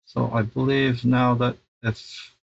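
A man's voice: medium pitch, level tone, slow, creaky, a little hiss or hum. Middle-aged man, slightly muffled tutorial voice. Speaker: tech installer presenting a how-to tutorial. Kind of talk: casual step-by-step tutorial.

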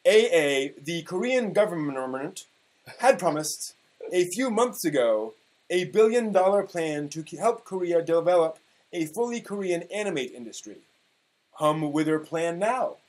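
A man in his forties giving a mock-dramatic, emphatic reading of an internet post, halting here and there over the text. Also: on the high side, sing-song, darkish tone, rough recording. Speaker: performer reading old forum posts. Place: small room at home, indoors.

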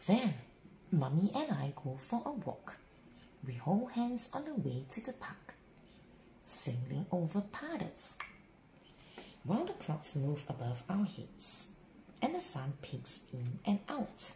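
A woman reads a bedtime picture book to young children in a slow, deliberate way. Her voice sits fairly low and swoops widely with dramatic, stretched-out words. The room adds a clear echo around her. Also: big pitch swings, warm tone. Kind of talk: expressive children's bedtime story read-aloud. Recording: roomy echo, faint background noise.

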